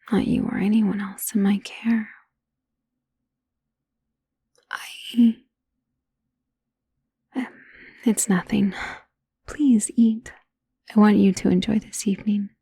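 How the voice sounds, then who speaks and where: A woman playing an ancient, doting, genteel female vampire in an intimate ASMR roleplay, speaking softly and tenderly, often in a whisper. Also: a little high, dry recording, exaggerated voice. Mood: tender, troubled, then doting, guarded. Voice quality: soft, breathy, often dropping to a whisper. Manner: slow, tender, teasing, genteel endearments. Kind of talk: ASMR roleplay, soft intimate acting to the listener.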